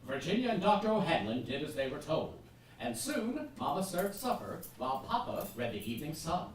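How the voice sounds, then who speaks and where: A woman narrating a stage radio play as a theatrical storyteller, with measured, dramatic phrasing. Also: on the low side, big pitch swings. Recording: echoey theatre, far mic, clear noise.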